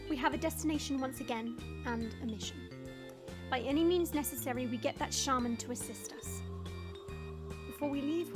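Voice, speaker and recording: A woman's voice: high voice, natural voice. A woman playing a proud, exasperated young princess in a fantasy audio drama, commanding and dramatic. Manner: commanding, dramatic, easily flustered. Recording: music under the voice.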